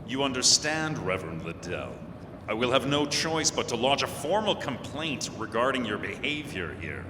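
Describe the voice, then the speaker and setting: A man's voice: medium pitch, big pitch swings, moderate pace, lightly acted. Man playing a pompous, swooping-voiced police corporal. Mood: cold, indignant. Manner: clipped, officious, theatrical. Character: pompous, menacing police corporal, coldly officious villain. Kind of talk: old-time radio drama acting. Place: live stage staged as a radio studio. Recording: echoey hall with clear background noise.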